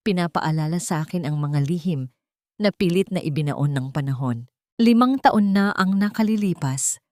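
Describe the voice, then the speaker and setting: A woman with a Filipino accent reads a dramatic first-person story in a solemn, deliberate, theatrical way. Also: on the low side, darkish tone, dry recording, studio quality. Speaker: audiobook narrator.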